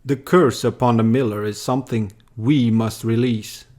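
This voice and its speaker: A man reciting a scripted poem in a measured, deliberate way. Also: mid-range voice, steady tone, a little mellow. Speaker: poem reader.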